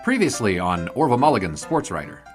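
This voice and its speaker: Low-voiced, wry 1920s sportswriter narrator. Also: male voice, sing-song, fast. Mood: reflective. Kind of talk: scripted first-person drama narration. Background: prominent music.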